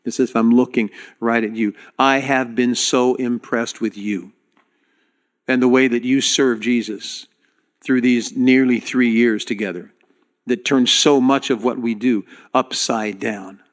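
A man preaching to his congregation as their pastor, speaking earnestly and directly with halting restarts. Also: medium pitch, fairly even intonation. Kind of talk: sermon.

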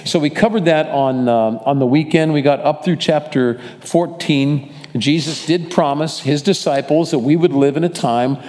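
A man's voice: fairly high pitch, normal speed, hardly any pauses. A male pastor leading a casual Bible study for his congregation, talking in a rambling way and correcting himself often. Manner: warm, rambling, self-correcting delivery. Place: church sanctuary with congregation. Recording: long hall reverb.

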